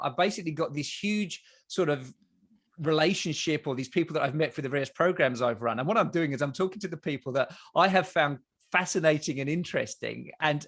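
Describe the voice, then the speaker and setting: A man with an Australian accent hosts a podcast in a slightly high voice. He talks in a rambling, enthusiastic way, restarting sentences and checking in with a "right?" as he goes. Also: fairly bright tone. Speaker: podcast host. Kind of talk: casual interview chat.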